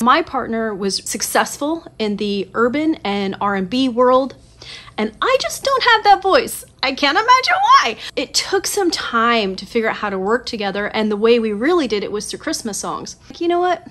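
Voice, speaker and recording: A woman's voice: a little high, a bit bright. Chatty woman in her forties, self-mocking. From Southern California. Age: 40s. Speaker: songwriter vlogger. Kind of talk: casual talk to camera. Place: small colorful home studio room. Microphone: phone camera at desk distance.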